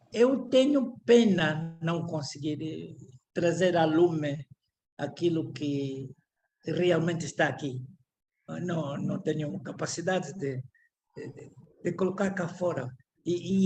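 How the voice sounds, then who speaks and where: An older Angolan man speaking Portuguese with an Angolan accent, giving a reflective, halting lecture full of restarts and repeated words. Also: a little high, slight background noise, rough recording.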